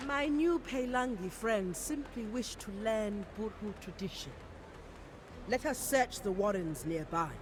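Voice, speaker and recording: A woman's voice: mid-range voice, animated, measured pace, background noise, lightly acted. A woman playing a proud, commanding sea priestess in a video game, solemn and imperious in her delivery. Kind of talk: video game cutscene acting. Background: music.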